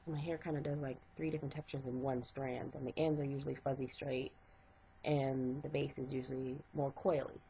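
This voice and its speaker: A woman's voice: rumbling low, level tone, a little fast, creaky, mellow, slight background noise. A middle-aged woman and hairstylist, congested from a cold, talking step by step through a casual hair tutorial. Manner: step-by-step, conversational, with fillers. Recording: dull lo-fi home recording.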